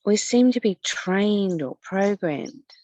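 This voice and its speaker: A woman reading a spiritual text aloud and adding her own commentary as a teacher, speaking deliberately with pauses and repeated phrases. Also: mid-range voice, expressive, mellow. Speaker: spiritual study teacher and reader.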